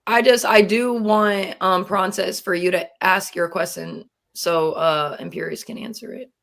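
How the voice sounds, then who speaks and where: A hoarse woman co-hosting a podcast, coaxing and moderating the guests. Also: lowish voice, fairly even intonation, a little slow, a bit bright. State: hoarse, losing her voice. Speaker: co-host. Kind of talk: casual podcast chat.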